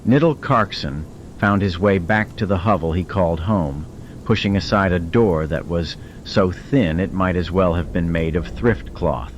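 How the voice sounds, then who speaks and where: Very deep-voiced male audiobook narrator. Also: unhurried, highs cut off, slight background noise. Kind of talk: dramatic scripted narration.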